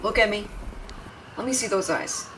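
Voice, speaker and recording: A woman's voice: mid-range voice, normal speed, far from the mic, noisy background, natural voice. A woman playing a brash but caring tsundere young woman in an intimate audio roleplay, scolding with tender worry underneath. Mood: sarcastic, exasperated. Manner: brusque, scolding, exasperated, with tender worried undertones. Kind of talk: intimate dramatic audio roleplay addressed to the listener.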